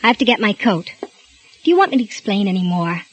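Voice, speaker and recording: An actress playing a nervous, guilt-ridden housewife, narrating in 1940s radio drama style with a tense, fearful delivery. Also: female voice, mid-range voice, big pitch swings, brisk, low-quality recording. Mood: evasive. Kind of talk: 1940s radio acting with first-person narration. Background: music underscore.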